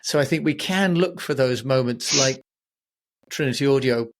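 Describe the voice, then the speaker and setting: A man hosting an interview podcast, talking conversationally in measured, reflective phrases. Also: mid-range voice.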